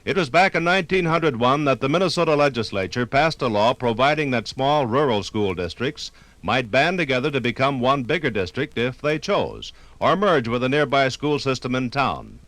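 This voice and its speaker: Casting: male American 1950s radio documentary narrator, medium pitch, very varied inflection, measured and oratorical. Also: fairly bright tone, no echo.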